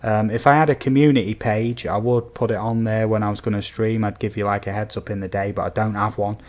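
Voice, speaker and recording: A man from the UK with a slightly croaky voice, chatting casually and easily to viewers as a livestream host. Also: lowish voice, steady tone, fast, darkish tone. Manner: easygoing, chatty, addresses viewers as buddy and guys. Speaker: game streamer, host.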